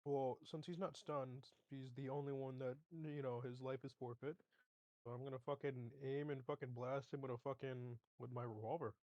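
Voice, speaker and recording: A man with a medium-pitched voice talks through his moves as a player in a tabletop game. He is casual and blunt, heard over a dry, slightly dull online connection. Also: steady tone, normal speed, a little mellow, no echo. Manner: profane, blunt. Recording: slightly muffled dry call audio.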